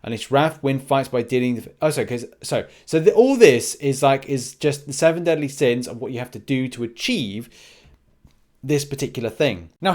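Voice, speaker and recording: A man with a slightly high-pitched voice hosts a gaming update. He speaks casually and off the cuff, rambling a little as he thinks aloud. His commentary often breaks into short, clipped asides. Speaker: gaming video host. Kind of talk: casual unscripted game news commentary.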